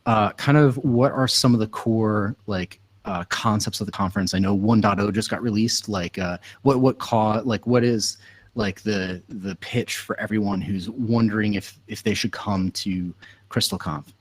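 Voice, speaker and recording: A man's voice: on the low side, moderate pace. Upbeat man hosting a casual call. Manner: upbeat, laughs often, fillers like "like". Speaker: host and announcer. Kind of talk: casual video call chat. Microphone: computer mic on a video call.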